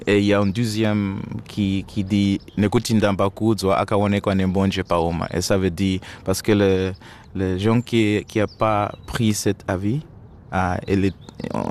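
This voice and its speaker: Man, hesitant, low voice. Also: a little mellow, slight background noise. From Zimbabwe. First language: Shona. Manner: hesitant, searching for French words, many restarts. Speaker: musician guest. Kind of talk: interview answers.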